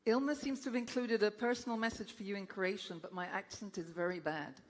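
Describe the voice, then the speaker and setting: A woman of middle pitch hosts a live question session with a light, joking touch. Her voice carries a long echo from a large hall. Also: steady tone, fairly quick. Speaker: event host and moderator. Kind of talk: hosting a live Q&A. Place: large indoor launch event. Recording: reverberant event hall.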